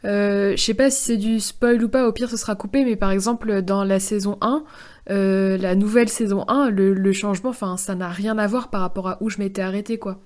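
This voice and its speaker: A woman's voice: medium pitch, fairly even intonation, fairly quick, darkish tone. A woman on a podcast panel, chatting casually and thinking aloud with self-corrections. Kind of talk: casual discussion.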